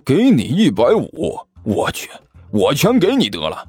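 A man's voice: mid-range voice, huge pitch swings, measured pace, a little mellow, breathy edge, dry recording, faint music behind, full character voice. Man voicing a sly, shameless swindler monk. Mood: indignant. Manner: slangy, smirking, sputters when cornered. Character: sly, shameless monk con artist, quick to fluster.

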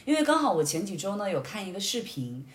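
A young woman chatting casually and telling personal stories in an animated way, in short phrases. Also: mid-range voice, fast talker. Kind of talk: casual conversational storytelling. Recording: roomy, echoey sound.

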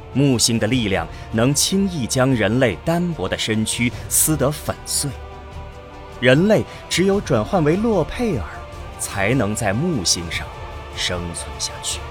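Male science fiction narrator, lively intonation over music. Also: lowish voice. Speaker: narrator of an audio drama. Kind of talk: dramatic scripted narration of a science fiction radio play. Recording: music under the voice.

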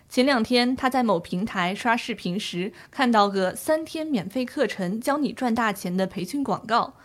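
A woman's voice: mid-range voice, natural voice. A woman playing a concerned granddaughter asking for advice, in a conversational scripted radio drama. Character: worried granddaughter seeking legal advice for grandfather.

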